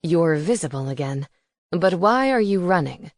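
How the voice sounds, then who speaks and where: A woman voicing a dry, sardonic male owl familiar who speaks slowly and low. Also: expressive, no room sound. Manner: slow, low and unhurried.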